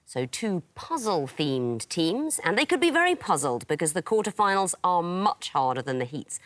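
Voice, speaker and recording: Female quiz host, low-ish voice, animated pitch, crisp and mock-stern. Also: normal speed. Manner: arch, teasing, crisp delivery. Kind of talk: scripted quiz hosting with dry teasing. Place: television studio.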